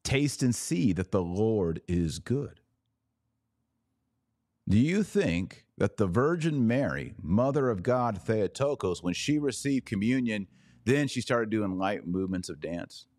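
Deep-voiced guy doing a live podcast rant, slow and sarcastic, dry close mic. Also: big pitch swings, darkish tone, no room sound. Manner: sarcastic, emphatic, mocking asides. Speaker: podcast host. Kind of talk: livestreamed commentary podcast.

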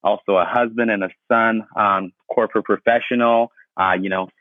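A young man from Ghana, a podcast guest, telling his story in relaxed casual talk with many fillers. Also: on the low side, normal speed, no room sound. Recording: dry tinny phone-like line.

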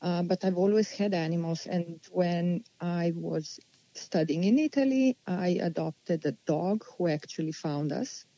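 Mellow-voiced woman in her forties. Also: fairly low pitch, no echo, highs cut off. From Slovenia. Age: 40s. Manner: unhurried, thoughtful, with many pauses and restarts. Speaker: guest. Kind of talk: casual interview answers.

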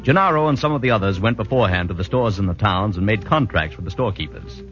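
An actor playing an American army doctor who narrates a 1940s radio drama in a measured, expressive storytelling way. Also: male voice, on the low side, close to an everyday voice. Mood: reflective. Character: genial American army doctor recounting his friend's story. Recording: dull vintage radio recording with music.

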